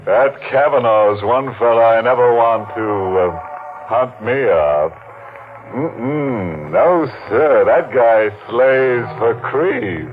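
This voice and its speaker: An actor playing a sinister, chuckling horror host in a 1940s radio drama, gloating and slow. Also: male voice, mid-range voice, animated. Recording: tinny old radio broadcast with music.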